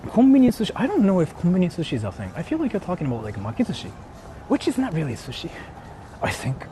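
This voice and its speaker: A man's voice: sits high, huge pitch swings, fast, mellow. A man from Japan with a Japanese accent, streaming casually and rambling informally and bluntly as he answers viewers. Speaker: live streamer. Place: outdoors on a city street. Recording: noisy outdoor street, traffic ambience. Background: urban ambience and vehicles.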